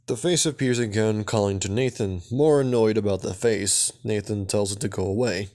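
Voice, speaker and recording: A man's voice: on the low side, moderate pace, darkish tone. A man narrating a recap and review in a casual, semi-scripted style, as the presenter of a video.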